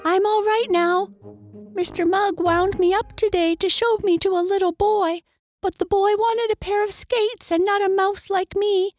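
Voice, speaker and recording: A tiny, nervous toy mouse from a children's storybook. The voice is squeaky and sky-high in pitch. She pleads and frets in an exclaiming, girlish way. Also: steady tone, normal speed, darkish tone, soft background music. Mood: nervous, chattering.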